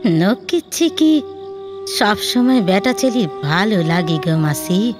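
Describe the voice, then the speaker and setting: A woman playing a meek, devoted young village wife in a Bengali audio drama, speaking plaintively and deferentially with a rural West Bengal accent. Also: medium pitch. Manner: plaintive, deferential, folksy lilt.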